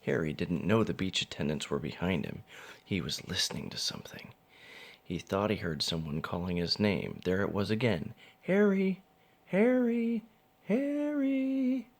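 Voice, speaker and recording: A man's voice: low-pitched, moderate pace, creaky, a bit bright. A man reading a children's storybook aloud as narrator. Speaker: father reading a picture book.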